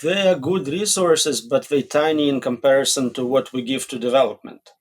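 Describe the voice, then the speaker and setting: A man's voice: medium pitch, normal speed. A man answering as an expert guest in an interview, explaining in short, plain statements with examples. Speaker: interview guest, AI safety researcher.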